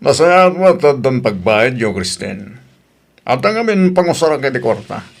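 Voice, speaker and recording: A man's voice: medium pitch, sing-song, moderate pace, creaky, soft background music. A man with an Ilocano accent playing an inquisitive, easygoing older landlord in an audio drama. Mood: curious, probing.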